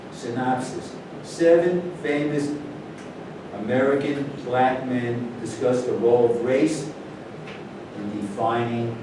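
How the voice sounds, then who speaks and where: A man narrates a staged play reading from a script. His mid-pitched voice comes from far off in a heavily echoing room, with clear rumbling noise. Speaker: narrator reading title, disclaimer and stage directions. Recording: echoey distant room recording, noisy rumble.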